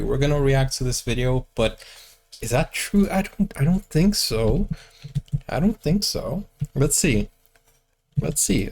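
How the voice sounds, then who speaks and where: Male reaction host, casual and curious, gravelly tone. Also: medium pitch, slight background noise. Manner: chatty, questioning, thinks aloud.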